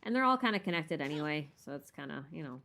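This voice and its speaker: A woman's voice: low-pitched, lively intonation, fast, creaky, sharp tone. A woman hosting a casual live Q&A stream while gaming, chatting in a playful, self-deprecating, rambling way with muttered asides.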